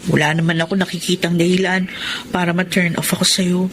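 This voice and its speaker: Woman acting as devoted filipina sweetheart, soft-hearted radio drama style, filipino accent, noisy audio. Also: fairly low pitch, little pitch movement, fairly quick, lightly acted. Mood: tender, reassuring. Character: Sean, tender, devoted woman in love.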